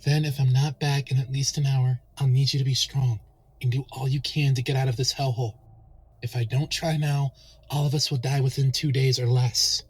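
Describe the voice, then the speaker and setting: A man's voice: medium pitch, flat delivery, moderate pace, husky, natural voice. A man voicing a protective young male pony, a weary husband speaking with earnest urgency in a dramatic fan fiction reading. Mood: resolute, grave.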